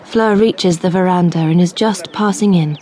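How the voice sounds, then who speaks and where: A woman's voice: fairly low pitch, moderate pace, a little mellow, a little hiss or hum, natural voice. A woman acting as the intimate, knowing narrator of a radio drama. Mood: measured, knowing.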